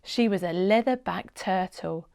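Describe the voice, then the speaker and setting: A woman reading a children's storybook aloud as an expressive read-along narrator, with dramatic emphasis. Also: medium pitch, unhurried, creaky.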